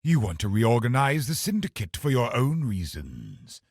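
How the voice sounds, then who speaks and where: An actor playing a scheming, pious villain in a video game, a cold religious officer who speaks in a measured, haughty, husky voice. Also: male voice, medium pitch, huge pitch swings, darkish tone, no room sound, studio quality, heavily acted. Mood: calculating. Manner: measured, haughty, calculating, formal. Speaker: game boss character. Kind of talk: dramatic video game voice acting.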